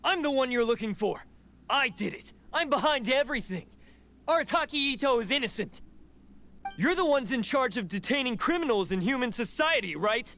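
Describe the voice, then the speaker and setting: A proud young oni warrior from a video game, intense and defiant. His voice is bright and tense, pitched high for a man with lively swings. Faint music plays behind him. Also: normal speed, heavily acted. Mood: bitter. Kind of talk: game cutscene voice acting.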